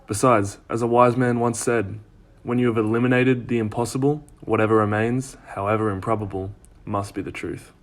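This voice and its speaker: A man playing a level-headed, sceptical police detective with a dry, measured delivery in a fan audio drama. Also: on the low side, fairly even intonation, close to an everyday voice. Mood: wry, calm.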